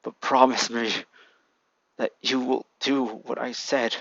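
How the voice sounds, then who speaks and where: A man voicing a dying father who is a swordsman, speaking slowly and haltingly in a low, hushed voice. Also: little pitch movement, creaky, no room sound, lo-fi sound. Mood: pleading.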